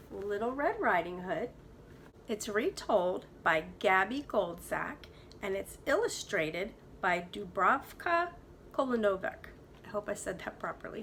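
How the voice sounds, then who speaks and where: Animated, bright-voiced woman reading to children. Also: on the high side, a little hiss or hum. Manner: clear storytelling with dramatic emphasis. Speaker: preschool teacher. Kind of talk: expressive storybook reading for young children.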